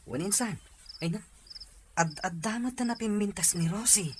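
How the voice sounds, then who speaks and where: A man's voice: high-pitched, moderate pace, noisy background, natural voice. A young man acting an evasive, irresponsible young man in a drama serial. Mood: breezy.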